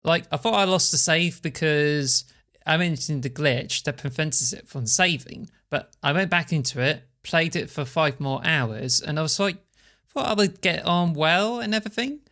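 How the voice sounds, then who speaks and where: A woman's voice: low-pitched, moderate pace, fairly bright tone. A woman co-hosting a casual podcast while nursing a strained back, rambling along with lots of "like" fillers. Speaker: podcast co-host. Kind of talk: casual chat. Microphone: podcast microphone.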